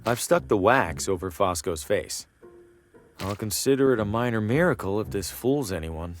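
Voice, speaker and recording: A man's voice: fairly low pitch, expressive, moderate pace, faint music behind, close to an everyday voice. A man playing a wry, down-to-earth traveling salesman who thinks aloud in a dry, conversational way. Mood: wry, casual. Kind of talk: video game character acting, thinking aloud.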